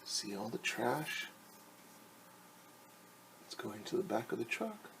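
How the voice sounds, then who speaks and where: A man's voice: mid-range voice, big pitch swings, slow, creaky, fairly bright tone, a little hiss or hum. A middle-aged man, a teacher, reading a picture book aloud to children and explaining things simply. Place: small room at home.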